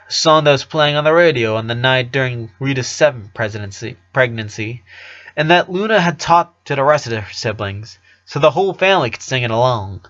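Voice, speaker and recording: A man's voice: mid-range voice, fairly bright tone. A man reads a story aloud as its narrator, in a mid-range voice. The recording is a bit dull and lo-fi, as if made at home. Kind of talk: reading fan fiction aloud.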